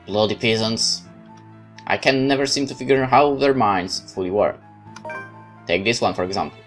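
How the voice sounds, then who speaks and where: A man narrating a horror visual novel in first person, calm, measured and brooding. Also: fairly low pitch, faint music behind. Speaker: let's play host narrating a visual novel.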